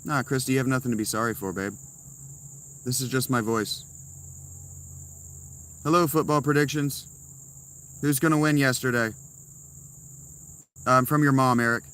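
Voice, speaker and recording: Guy livestreaming in his tent, bright forceful voice, snarky comebacks to chat. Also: medium pitch, a little slow, long pauses, clean tone. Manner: blunt, sarcastic replies to chat. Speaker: live streamer answering chat. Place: inside a tent.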